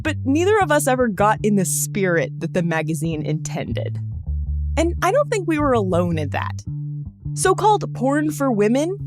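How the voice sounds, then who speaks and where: A woman hosting a podcast, reading scripted narration in a conversational storytelling style with wry, joking asides. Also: a little high. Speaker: podcast host.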